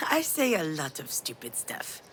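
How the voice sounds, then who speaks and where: An actress playing a weary, grieving mother in a video game, soft and wry, with a self-deprecating manner. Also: low-pitched, huge pitch swings, slow pace, slight background noise, full character voice, poor audio. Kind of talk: naturalistic video game drama acting.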